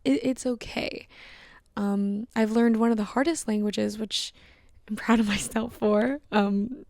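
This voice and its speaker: A young woman talks candidly into a close mic, reflective and unhurried, circling back with restarts and fillers. Her mid-pitched voice carries a lot of vocal fry. The sound is dry and close, with no room around it. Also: steady tone, darkish tone, dry recording. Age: young adult. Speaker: podcast host. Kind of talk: casual solo podcast monologue.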